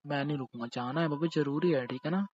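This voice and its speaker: Husky man in teasing domestic chat. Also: fairly high pitch, quick pace, darkish tone, no room sound. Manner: teasing, insistent, questioning. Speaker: husband. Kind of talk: intimate casual chat, playful bickering. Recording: dull lo-fi call-style recording.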